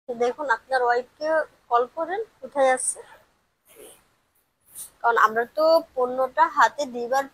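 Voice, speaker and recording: High-pitched, insistent female parcel courier, acted by a woman. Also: fairly bright tone, low-quality recording. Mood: polite, hesitant. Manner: insistent, protesting. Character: young female parcel courier, hurried, indignant, standing her ground. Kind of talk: amateur audio drama acting.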